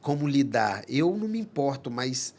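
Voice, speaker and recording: A man speaks at a steady middle pitch. He talks earnestly in a relaxed podcast chat, pausing and restarting his phrases as he works through a thought. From Manaus, Amazonas, Brazil. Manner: earnest, halting, many restarts. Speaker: psychologist guest. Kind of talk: casual podcast conversation.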